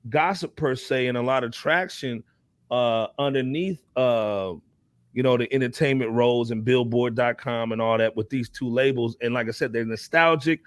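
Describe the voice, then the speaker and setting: A man's voice: mid-range voice, normal speed, no echo. An American man hosting a casual hip hop panel discussion, talking emphatically in slang and repeating phrases. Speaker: talk show panel host.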